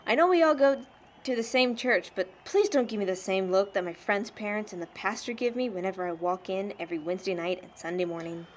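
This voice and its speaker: A woman's voice: medium pitch, a little fast, a little hiss or hum, close to an everyday voice. A young woman playing a blunt, sardonic teenage churchgoing girl in an amateur audio drama, frank and slangy. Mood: wry.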